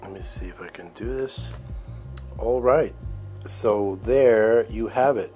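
A man's voice: fairly low pitch, takes it slowly, a little mellow, faint music behind. A man in a conversational debate, exasperated, often stammering and restarting as he tries to get a word in.

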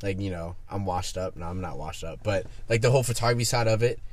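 Easygoing man in his twenties, lowish voice. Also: fast talker. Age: 20s. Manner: easygoing, chatty, swears casually. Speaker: podcast host. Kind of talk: casual podcast chat.

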